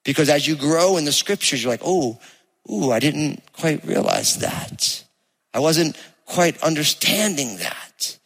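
A man with a New Jersey accent preaching a sermon to a congregation, speaking conversationally and with emphasis, slowing down to read scripture aloud. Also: on the high side, lively intonation, a bit bright, slightly rough. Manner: conversational, emphatic, slows to read place names. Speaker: pastor. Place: church hall with live congregation. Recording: long reverb of a church hall.

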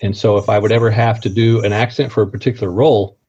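Friendly guy with a bit of a missouri accent, mellow kinda husky voice, dull lo-fi sound. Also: lowish voice, steady tone, quick pace. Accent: slight Missouri. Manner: friendly, chatty, easygoing. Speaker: actor and interview guest. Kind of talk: casual interview chat.

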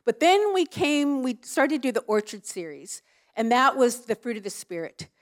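A woman's voice: a little high, sing-song, normal speed. A woman leading church ministry, talking in a casual, frank way with frequent fillers. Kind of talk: casual chat.

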